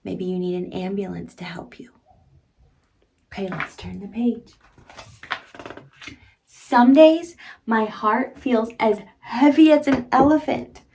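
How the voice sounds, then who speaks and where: A woman's voice: fairly high pitch, slow pace, gravelly, long pauses, darkish tone, slight background noise. A woman in her thirties reading a picture book aloud to young children, speaking expressively in simple teacherly phrases and addressing the child directly.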